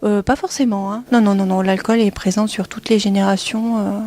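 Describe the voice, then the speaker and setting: A woman's voice: medium pitch, fairly even intonation, a little fast, mellow. A woman explaining services as a guest in an informal radio interview, recorded in a small kitchen. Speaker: director of a family support centre, interview guest. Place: small kitchen of a community family centre.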